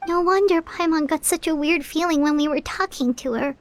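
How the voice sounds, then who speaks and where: A woman voicing a tiny, earnest, excitable childlike fairy companion in a cartoonish game style, squeaky, over music. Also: fairly quick, natural voice. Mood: curious. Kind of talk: cartoonish video game voice acting. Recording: music behind the voice.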